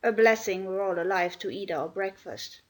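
A woman's voice: medium pitch, moderate pace, natural voice. A woman voicing a gossipy housemaid who tells news darkly, in broad country speech. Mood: dark gossip. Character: gossipy, dramatic female housemaid.

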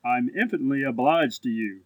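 A man's voice: mid-range voice, moderate pace, no room sound, natural voice. A man playing a languid, condescending grown-up older brother in a storybook, with pompous courtesy. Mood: aloof, courteous. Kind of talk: storybook dialogue acting.

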